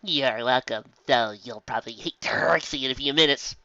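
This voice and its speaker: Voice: medium pitch, moderate pace, sharp tone, no room sound, lo-fi sound. A performer voicing a haughty female pony sidekick who refers to themself in the third person. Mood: smug.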